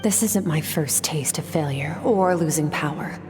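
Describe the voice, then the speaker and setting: A woman's voice: deep voice, moderate pace, music in the background, close to an everyday voice. A woman voicing a composed, resolute young swordswoman. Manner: firm, composed.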